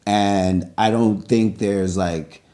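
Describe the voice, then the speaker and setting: A man's voice: on the low side, fairly even intonation, slow, mellow. A man co-hosting a podcast, asking questions in a friendly, teasing way. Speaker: podcast co-host. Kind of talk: casual podcast interviewing.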